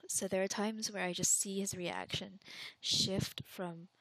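A young woman from the Philippines talks through listener questions in a chatty, unguarded way. Her mid-pitched voice carries a steady vocal fry, and she often pauses on fillers before finishing a thought. The recording is close and dry, with no room sound. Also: no echo, rough recording.

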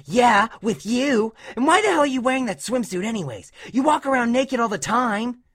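A bullying female cartoon pony played by a woman, high in pitch and wildly animated. She berates with loud scorn and a hot temper, in the style of a dramatic story reading. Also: moderate pace, husky. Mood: scornful. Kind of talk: dramatic fan-fiction character acting.

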